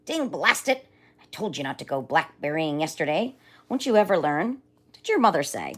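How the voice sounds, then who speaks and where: A woman voicing a grumpy, vain, hungry male lion in a storybook, yelling and blustering. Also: lowish voice, very animated, fairly quick.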